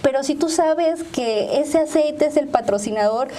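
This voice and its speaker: A woman's voice: sits high, unhurried, dark tone, a little hiss or hum. A woman with a Mexican accent explaining points didactically as a guest in a panel discussion, arguing through examples. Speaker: panel guest, media ethics expert.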